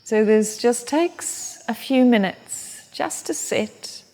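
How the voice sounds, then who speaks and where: A woman who teaches yoga, leading a guided meditation in a soft, gentle, unhurried way. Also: fairly high pitch, animated, in a large hall. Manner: soft, slow and gentle guidance.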